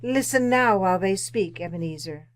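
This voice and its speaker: A woman playing a solemn, unyielding spirit of the past, speaking deliberately and commandingly in radio drama style. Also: mid-range voice, expressive, natural voice. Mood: solemn, insistent. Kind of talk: old-time radio drama acting.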